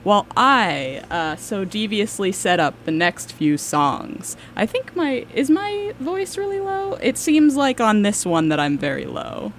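A woman's voice: mid-range voice, sing-song, a little slow, few pauses, a little hiss or hum. A young woman hosting student radio from the board, playful and self-mocking. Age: young adult.

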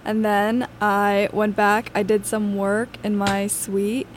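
A woman's voice: medium pitch, level tone, takes it slowly, a little hiss or hum. A young woman from Los Angeles, a student radio co-host chatting in a bubbly, enthusiastic way. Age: young adult. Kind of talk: casual chat.

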